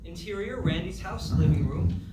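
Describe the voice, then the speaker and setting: A man's voice: high voice, normal speed, over a noisy crowd. A man narrating stage directions from a script at a live comedy table read. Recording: echoey room, distant mic, noisy.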